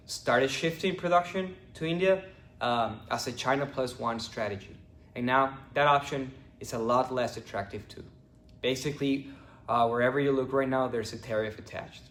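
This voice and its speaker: A young man from Ecuador, a finance student, giving a prepared class presentation at a steady pace with the odd stumble. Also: medium pitch, roomy sound. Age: young adult.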